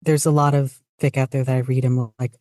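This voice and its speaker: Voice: medium pitch, flat delivery, fast, dark tone, no echo, clean studio sound. A podcast guest chatting casually in an interview, hesitant and self-deprecating. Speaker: podcast guest, fan fiction writer and podficcer.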